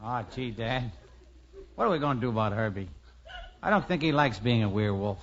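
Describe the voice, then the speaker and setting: An actor playing a smug, teasing teenage werewolf brother in a boyish, mocking way for a 1950s radio comedy. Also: male voice, medium pitch, animated, a little fast, slight background noise, natural voice. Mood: casual. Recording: muffled old radio recording.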